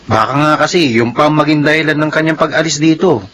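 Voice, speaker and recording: Radio drama brother, played by a man: fairly high voice, conciliatory, gently chiding. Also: fast, lightly acted. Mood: pleading, reproachful. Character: conciliatory adult son, gently reproaching his sister. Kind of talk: Filipino radio drama acting.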